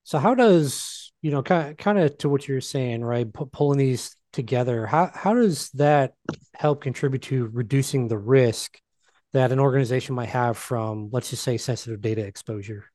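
A man's voice: mid-range voice, normal speed, a little mellow, dry recording, clean studio sound. A man hosting a podcast, asking questions in a casual, hesitant way with fillers. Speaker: podcast host. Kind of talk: podcast interview.

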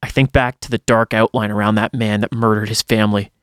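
A man's voice: on the low side, level tone, fairly quick, no room sound, studio quality. A man reading a first-person horror story aloud in a dramatic storytelling style, as a podcast host would.

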